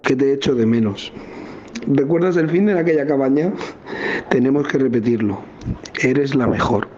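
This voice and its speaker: A tender, supportive loved one acted by a man in an intimate voice message. The voice is mellow and mid-pitched, on a dull, noisy recording. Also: normal speed, natural voice. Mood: tender, encouraging. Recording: dull recording with background noise.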